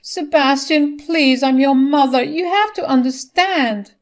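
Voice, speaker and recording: A woman's voice: medium pitch, normal speed, lightly acted. A woman voicing a manipulative, desperate older mother who pleads and protests dramatically in a story. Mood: tearful pleading.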